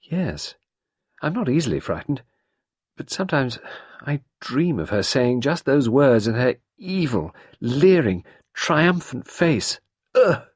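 A man's voice: mid-range voice, sing-song, takes it slowly, husky, no echo, clean studio sound, voice pushed up, full character voice. A man voicing an anxious, earnest young woman with a raised, pleading voice. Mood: frightened.

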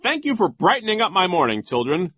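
A man's voice: big pitch swings, a little fast, sharp tone, slightly rough, no room sound, exaggerated voice. A man voicing a vain, pompous, giggly school vice principal in a storybook reading. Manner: shrill theatrical giggling, self-satisfied.

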